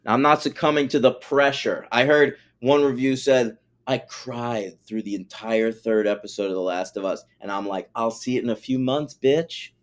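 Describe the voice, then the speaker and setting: A man's voice: medium pitch, normal speed, hardly any pauses. A man co-hosting a podcast, talking casually and animatedly, joking and swearing. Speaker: podcast co-host.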